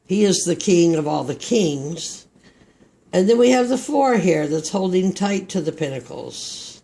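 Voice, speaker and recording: Male tarot reader, Texas accent, fairly high voice, unhurried and rambling. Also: lively intonation, vocal fry, mellow. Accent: Texan. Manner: slow, meandering, reflective delivery. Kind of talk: tarot card reading.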